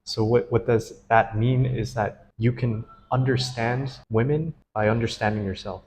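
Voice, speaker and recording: A man's voice: medium pitch, steady tone, measured pace, darkish tone, slight background noise. A man, a dream analyst, interviewing a client in an earnest, enthusiastic and easily laughing way. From United States. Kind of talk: casual interview.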